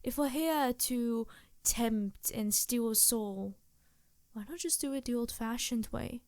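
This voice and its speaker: A woman acts out a haughty succubus with a highish voice, recorded close and dry. She draws her words out slowly, flirtatious and vain, in an intimate ASMR roleplay style. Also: a little mellow, dry recording. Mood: exasperated, scheming. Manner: languid, flirtatious, theatrical, sighing. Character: vain, seductive succubus demon, haughty and teasing. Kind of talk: ASMR roleplay, improvised character acting.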